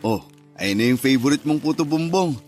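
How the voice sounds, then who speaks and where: A man acting a gentle, caring Filipino husband and father in a radio drama. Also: on the high side, expressive, fairly quick, close to an everyday voice. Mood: light, fond. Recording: music bed under the voice.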